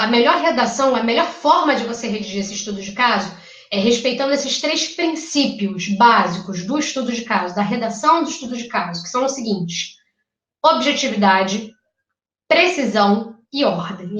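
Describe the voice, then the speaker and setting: A woman's voice: a little high, expressive, normal speed, a bit bright. Carioca woman teaching, roomy and distant. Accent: Carioca Brazilian Portuguese. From Rio de Janeiro, Brazil. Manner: chatty, checks in with "tá?" and "né?". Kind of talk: live online exam-prep lecture.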